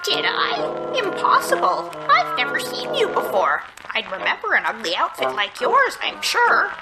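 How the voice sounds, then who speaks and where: Haughty, forgetful game lady: extremely high, wildly varied pitch, slow theatrical indignation. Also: huge pitch swings, vocal fry, echoey room, full character voice, rough recording. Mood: indignant, confused. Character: flustered, haughty, forgetful plant-watering lady. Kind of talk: musical adventure game acting, part sung. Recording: loud music behind voice. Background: loud music.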